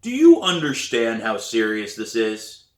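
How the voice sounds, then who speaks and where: A man's voice: lowish voice, huge pitch swings, measured pace. A man reading a children's novel, playing a stern, formal and intimidating male school principal. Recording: roomy echo.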